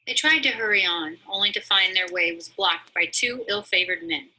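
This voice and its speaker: Very bright, husky woman storyteller. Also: medium pitch, normal speed, poor audio. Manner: dramatic, expressive. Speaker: story reader. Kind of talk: scripted storybook narration.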